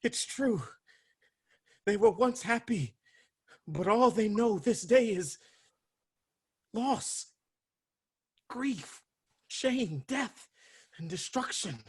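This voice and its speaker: An actor playing a grim palace messenger, giving a grave and theatrical recitation in a staged reading held over a video call. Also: male voice, high voice, lively intonation, drawn-out words, pauses often, slightly rough, exaggerated voice. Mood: mournful. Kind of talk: staged reading of a Greek tragedy.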